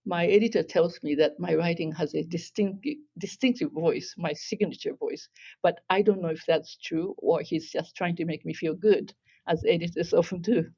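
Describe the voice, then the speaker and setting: A woman from Japan, a native Japanese speaker with a Japanese accent, talking as a guest novelist at a literary festival in a measured, reflective way with hesitant restarts. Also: lowish voice, vocal fry, warm tone, slightly rough, muffled recording.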